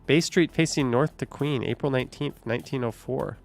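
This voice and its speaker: Male stream host, mid-pitched with a gravelly edge, loose off-the-cuff commentary. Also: fairly quick, soft background music. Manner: chatty, thinks aloud, swears casually. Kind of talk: casual live stream commentary.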